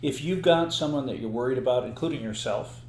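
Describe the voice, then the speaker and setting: A man's voice: medium pitch, a little hiss or hum. Joking male podcast host in an echoey room. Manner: playful, quick with jokes and laughs. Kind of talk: casual joking chat.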